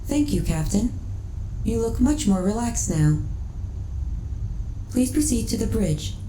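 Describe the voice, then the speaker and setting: Sci-fi ASMR roleplay: lonely, devoted female ship computer acted by a woman, polite and soft, dark relaxed tone, echoing space with faint noise. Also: a little high. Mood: tender, soothing. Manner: measured, polite, formal phrasing. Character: lonely female starship AI, gentle, devoted, wistful. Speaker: voice actor in an audio roleplay. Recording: roomy echo, faint background noise.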